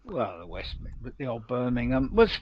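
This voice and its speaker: A man's voice: medium pitch, big pitch swings, normal speed, highs cut off. A man in his seventies, a guest and retired detective, telling stories in a rambling way with many restarts. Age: 70s. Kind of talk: casual chat.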